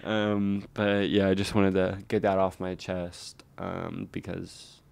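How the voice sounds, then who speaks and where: Low, smooth-voiced young American man. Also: completely flat delivery, slow, darkish tone. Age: young adult. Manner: slangy, heavy use of "like" and swearing. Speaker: podcast co-host. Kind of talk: casual comedic chat. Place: living room indoors, by a fireplace. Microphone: handheld microphone held close.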